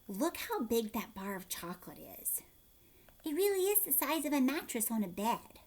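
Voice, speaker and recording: Female storyteller for young children: teacherly, explanatory asides, expressive delivery, lots of pitch movement. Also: normal speed. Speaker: teacher reading aloud.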